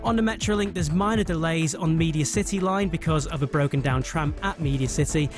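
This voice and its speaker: A man's voice: on the high side, fairly quick. A British man reading a scripted radio news bulletin as the presenter.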